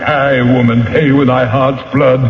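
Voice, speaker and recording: A man's voice: mid-range voice, level tone, unhurried, soft background music, close to an everyday voice. An actor playing a vengeful, anguished ghost of a nobleman in a 1980s radio drama, theatrical and archaic in his speech. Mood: menacing. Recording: dull old radio recording, cavernous reverb, hiss.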